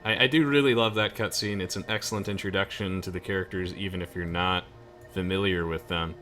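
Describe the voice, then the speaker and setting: A man's voice: sits low, steady tone, a little fast, bright, forceful tone, soft background music. A young man giving casual, chatty, enthusiastic game commentary as host. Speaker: host of a let's play.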